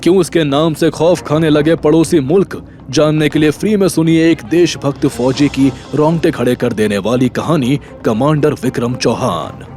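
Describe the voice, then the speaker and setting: A man's voice: on the high side, moderate pace, hardly any pauses, a little mellow, soft background music. A man narrating a scripted audio drama in a dramatic storytelling style.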